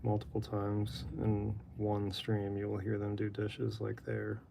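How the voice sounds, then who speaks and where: Man, monotone drawing-stream talker, gravelly fry, very flat delivery. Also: lowish voice, a little slow, slight background noise. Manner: monotone, rambling. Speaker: artist drawing live. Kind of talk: casual chat while drawing. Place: apartment room.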